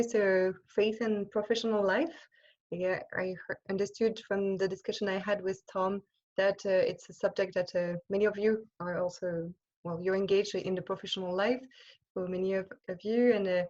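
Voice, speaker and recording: A French-accented woman, a religious sister from France whose first language is French, giving an informal talk to an online group on a video call and often pausing to search for words. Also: mid-range voice, a little mellow, highs cut off. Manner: hesitant, searching for words, many pauses and restarts. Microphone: computer microphone on a video call.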